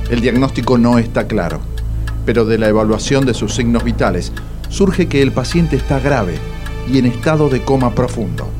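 An actor with an Argentine accent narrating a comic radio drama as a solemn, mock-dramatic announcer. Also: male voice, mid-range voice, close to an everyday voice.

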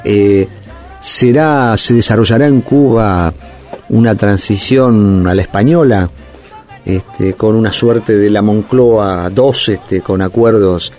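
A man speaks as an analyst guest on a radio interview. He thinks aloud, hedging and padding with fillers. His voice is low and mellow, with faint music far behind. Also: takes it slowly. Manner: thoughtful, hedging, with many fillers.

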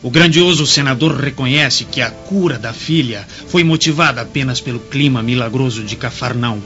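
A scornful Pharisee, pompous and severe, with a bright, forceful voice. It is radio drama acting, slightly dull in sound with faint music underneath. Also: male voice, mid-range voice, normal speed. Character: haughty, scornful Pharisee, spokesman of high priests.

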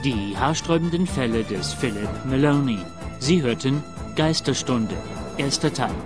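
A man's voice: medium pitch, animated, normal speed. Formal male announcer, recap read, music bed.

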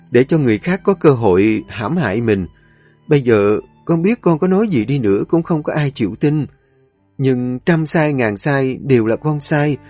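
A man's voice: medium pitch, a little mellow, slightly rough, dry recording. A man voicing a desperate young wife who pleads and sobs for mercy. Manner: sobbing pleading.

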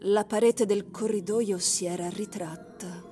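A woman giving dramatic, literary radio narration with deliberate, suspenseful phrasing. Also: mid-range voice, fairly even intonation, warm tone. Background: music.

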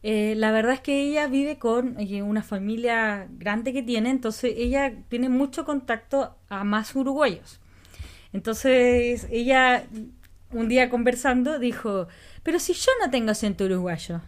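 Chilean woman co-host, Chilean accent, teasing and laughing; fairly high voice. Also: unhurried. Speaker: podcast co-host. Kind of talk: casual chat.

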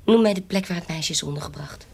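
An actress playing a shrewd, defiant adventuress in an audio period drama, guarded, cool and commanding. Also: female voice, on the low side, fast talker, darkish tone, slight background noise, close to an everyday voice. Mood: insistent. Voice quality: husky. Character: shrewd, defiant adventuress, forger and courtesan posing as noble. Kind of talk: audio drama acting in Dutch period piece.

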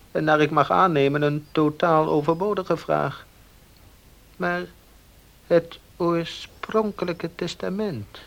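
A village baker from a radio play, a schemer who talks with sly deliberation. He is gruff and bossy with those beneath him and can put on humility when it suits him. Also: a little high, a bit bright. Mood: slyly insinuating. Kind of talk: Dutch radio play acting.

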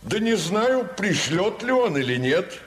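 A man's voice: sits high, very animated, a little slow, a bit bright, exaggerated voice. A man playing a stout, self-important general in an audio drama, ponderous and puffing on his pipe between phrases. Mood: leisurely, condescending. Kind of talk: theatrical audio drama acting.

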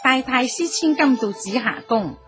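Imperious cantonese empress dowager, kind underneath, slow w big pitch swings, radio drama, bit of music behind. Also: female voice, expressive. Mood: commanding. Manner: formal, imperious, deliberate. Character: stately empress dowager, commanding yet compassionate.

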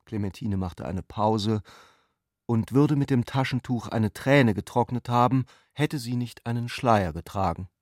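A male narrator reads literary prose for a radio play. His voice sits at a medium pitch, recorded very dry and close. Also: measured pace, a little mellow, no echo.

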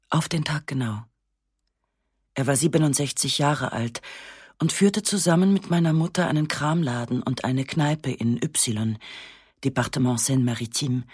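Sober woman narrator, dry and slightly husky. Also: deep voice, a little mellow, no room sound. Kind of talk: literary first-person scripted narration.

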